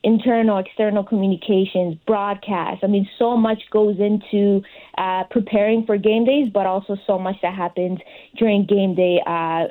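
A woman's voice: mid-range voice, steady tone, normal speed, phone-quality sound. A young adult woman speaking as an interview guest, giving conversational answers. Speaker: interview guest, sports fellowship participant.

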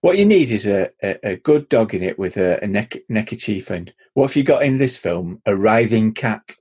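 A man's voice: fairly low pitch, animated, normal speed, darkish tone, husky. A middle-aged man chatting playfully in casual talk. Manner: laughing, playful. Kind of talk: casual chat.

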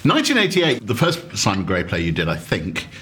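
A man's voice: lowish voice, huge pitch swings, fairly quick, bright, forceful tone, slight background noise. A man interviewing a guest at a restaurant table, prompting him and recapping his past work. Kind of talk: conversational interview.

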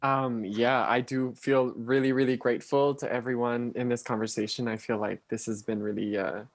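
A man speaking as a panel guest, gentle and reflective in tone. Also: medium pitch, fairly even intonation, moderate pace, no room sound. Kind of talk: casual panel discussion.

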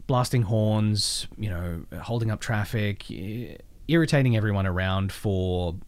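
Man, lowish pitch, casual interview guest, conversational storytelling with asides. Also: a little slow. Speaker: voice actor guest.

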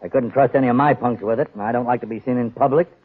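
Actor as grumbling old-time gangster boss, tinny radio. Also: male voice, medium pitch, rapid-fire, slight background noise, lightly acted. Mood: resigned, confiding. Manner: slangy, grumbling tough-guy talk. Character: weary, grumbling aging male gangster gambling boss. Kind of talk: 1940s radio acting. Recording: tinny band-limited 1940s radio broadcast.

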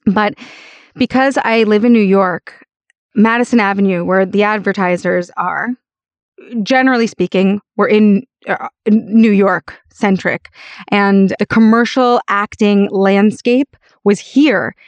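Raspy American woman, dry close sound. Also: medium pitch, slow, no echo. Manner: emphatic, peppers talk with "like" and "you know". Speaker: interview guest, actor and voice-over artist. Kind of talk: conversational personal storytelling.

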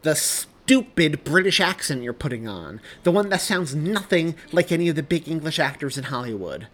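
A man's voice: mid-range voice, very animated, normal speed, a bit bright, slightly rough, full character voice. A man voicing an exasperated, defensive young man with a sarcastic edge in dramatized audiobook dialogue. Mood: spiteful, annoyed.

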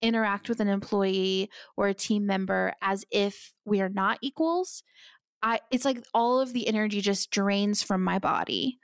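A woman's voice: medium pitch, fairly even intonation, normal speed, smooth, no room sound, studio quality. A woman hosting a podcast, chatty and enthusiastic as she interviews a guest. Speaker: podcast host.

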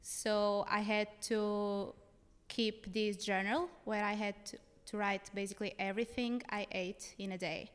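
Clear-voiced Portuguese woman in a reverberant room. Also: medium pitch, level tone, unhurried. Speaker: podcast guest. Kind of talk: casual conversational storytelling. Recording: reverberant room with long echo.

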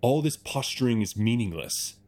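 An actor playing an arrogant, scornful rich chief executive, indignant and theatrical, in an audio drama. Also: male voice, medium pitch, expressive, normal speed, dark tone, close to an everyday voice. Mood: outraged.

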